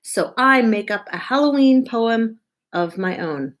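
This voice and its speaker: A woman reading a picture book aloud to children in an expressive, deliberate way, as a children's librarian. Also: on the high side, a little hiss or hum. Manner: animated, drawn-out, deliberate delivery.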